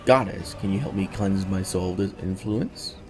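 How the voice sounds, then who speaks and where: An actor playing an earnest knight commander in a fantasy video game, direct and questioning. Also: male voice, low voice, moderate pace, over music, lightly acted.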